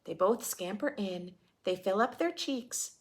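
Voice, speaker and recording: Animated woman reading a storybook aloud, unhurried. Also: mid-range voice. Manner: dramatic, playful, asks the listener questions. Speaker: children's read-aloud teacher. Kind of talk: expressive storybook read-aloud with teaching asides.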